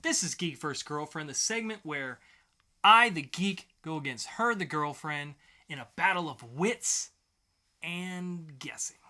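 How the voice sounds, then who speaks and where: A man's voice: fairly high pitch, animated, a little slow, sharp tone. A man hosting a podcast segment in a casual, conversational style, sometimes swearing. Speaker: podcast host.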